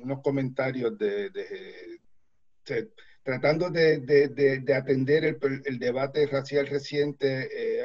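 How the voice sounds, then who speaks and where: A man interviewing as a journalist, halting as he searches for words. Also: mid-range voice, lo-fi sound. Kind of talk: interview questioning.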